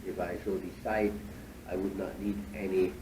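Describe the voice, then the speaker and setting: A man from Switzerland, guiding a tour through a cheese factory and explaining things at an unhurried pace with many fillers. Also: low voice, little pitch movement. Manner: unhurried, many fillers, slightly foreign phrasing. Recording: echoey factory hall, distant mic, machinery noise.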